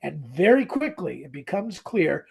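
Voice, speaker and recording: A man co-hosting a podcast, casually retelling a story in an animated way. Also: on the high side, a little slow, vocal fry, breathy edge. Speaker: podcast co-host. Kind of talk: casual chat, retelling a radio drama.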